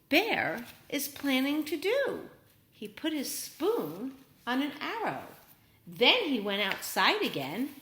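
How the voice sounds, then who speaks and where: Woman, children's storytime reader, high bright voice, slow delivery, encouraging, interactive. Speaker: storytime host reading to young children. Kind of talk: read-aloud storytelling with questions to children.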